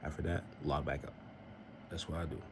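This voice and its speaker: Young man, very low voice, earnest preachy vlogger, noisy home recording. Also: little pitch movement, brisk. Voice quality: very deep voice. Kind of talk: casual faith-based vlog talk.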